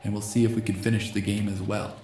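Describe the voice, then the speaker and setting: A slightly hoarse man hosting a gaming channel, reading a text adventure game aloud in a soft ASMR style and speaking the punctuation marks. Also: lowish voice, little pitch movement, fast talker, roomy sound, music in the background. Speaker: host.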